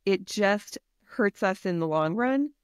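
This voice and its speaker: A woman's voice: medium pitch, expressive, takes it slowly, clean tone, dry recording, clean studio sound. A woman health and wellness coach, a podcast guest, talking in an earnest, encouraging, practical way. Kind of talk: podcast interview.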